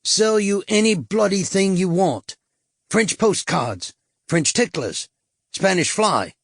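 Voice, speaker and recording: Male reader doing a brash tout, higher voice, oily salesman patter, dry sound. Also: expressive, takes it slowly, creaky, dry recording, heavily acted. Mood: wheedling. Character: sleazy male London street tout, pushy.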